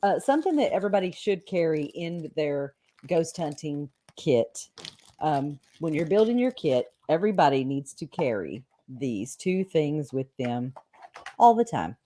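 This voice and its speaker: A woman's voice: deep voice, sing-song, measured pace, warm tone. A woman co-hosting a show, explaining things in emphatic, folksy, casual chat. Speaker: co-host of a paranormal show.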